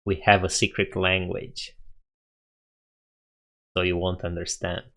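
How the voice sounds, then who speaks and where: A man's voice: deep voice, flat delivery, slow pace, creaky, a bit bright. A young man with a Romanian accent, casually chatting with viewers on a coding live stream, laughing often and thinking aloud.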